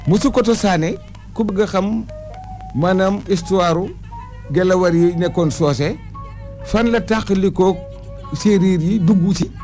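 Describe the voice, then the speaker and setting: A man's voice: high voice, unhurried, long pauses, a little mellow. A man recounting history and lists of names in an emphatic, reciting way, as an oral historian in conversation. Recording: muffled lo-fi audio with music behind. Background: music.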